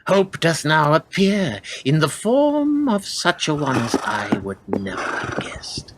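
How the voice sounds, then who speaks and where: An actor playing a cruel, menacing middle-aged lord in a radio play, speaking in archaic, theatrical phrases. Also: male voice, a little high, wildly swooping pitch, takes it slowly, fairly bright tone, slight background noise, exaggerated voice. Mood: grim, commanding.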